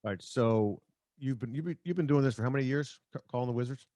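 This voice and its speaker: A man's voice: mid-range voice, fast talker, mellow, no room sound, clean studio sound. A man hosting a casual sports interview, informally setting up questions in short phrases. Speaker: sports show host, interviewer.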